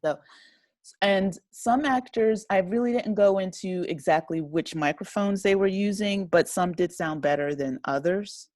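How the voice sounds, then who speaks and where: A woman's voice: mid-range voice, measured pace, darkish tone, no room sound, studio quality. A woman speaking casually as an interview guest, modest and self-deprecating, laughing easily. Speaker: interview guest, audio drama writer and director.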